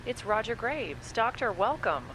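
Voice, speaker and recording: An actress playing Abby, a cheerful morning-show host on a small-town radio station, with a bright, upbeat announcer delivery. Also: female voice, fairly high pitch, sing-song, a little slow. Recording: noisy audio drama recording.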